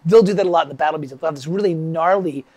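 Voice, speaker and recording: A man's voice: on the high side, racing. A man with a stuffy summer cold, teaching music composition on a casual livestream, rambling and chatting with viewers by name.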